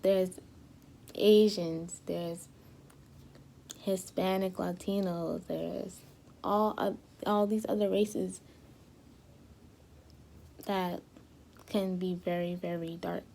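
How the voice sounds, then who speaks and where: A young woman vlogging casually to her viewers, a little hoarse as she loses her voice, rambling with lots of fillers and restarts. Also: lowish voice, little pitch movement, very slow, long pauses, mellow. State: slightly hoarse, losing her voice. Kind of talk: casual talk to camera.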